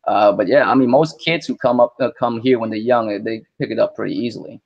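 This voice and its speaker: A young man from Vietnam, a Vietnamese native speaker, chatting as an interview guest in an animated, slangy way. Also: medium pitch, fast, creaky, dull audio. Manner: animated, slangy, lots of "you know what I mean". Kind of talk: casual interview chat.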